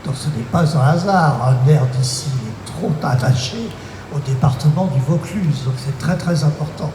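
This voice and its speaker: A man with a mid-pitched voice hosts a casual radio chat, rambling and repeating himself as he introduces his guest. The room is echoey with a long reverb, and noise is clearly heard behind him. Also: moderate pace. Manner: chatty, loose and repetitive. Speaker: radio host.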